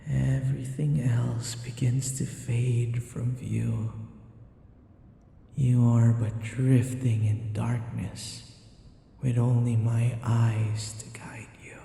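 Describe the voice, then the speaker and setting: A man playing a possessive, teasing vampire in an ASMR roleplay, with a breathy voice that often drops to a whisper and a coaxing, taunting manner. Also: mid-range voice, little pitch movement, drawn-out words, mellow, a little hiss or hum. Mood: hypnotic, coaxing. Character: possessive, teasing male vampire who hypnotizes his captive.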